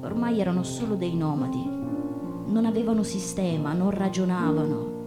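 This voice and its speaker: A woman reading literature aloud on stage in a dramatic style, with deliberate, measured phrasing and pauses. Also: lowish voice. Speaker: stage reader. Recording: acoustic guitar music behind the voice. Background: plucked guitar music.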